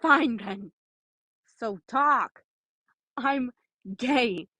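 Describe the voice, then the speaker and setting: A woman's voice: a little high, very slow, sharp tone, no echo, full character voice. A woman voicing a brooding, defensive teenage boy who is bitter and emotional in a story reading. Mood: tearful, bitter.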